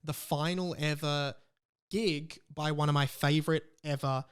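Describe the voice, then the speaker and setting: A man's voice: fairly high pitch, fairly even intonation, a little slow, clean tone. A young man with an Australian accent hosts a podcast solo, talking in a casual, candid and rambling way. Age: young adult. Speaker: podcast host.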